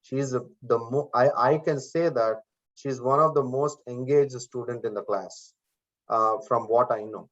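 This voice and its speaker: A man's voice: mid-range voice, measured pace, fairly bright tone. Indian-accented male instructor, frank and repetitive, on a muffled video call. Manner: direct, repeats himself, frank motivational tone. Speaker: course instructor. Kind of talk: online class coaching talk. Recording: dull lo-fi video call audio.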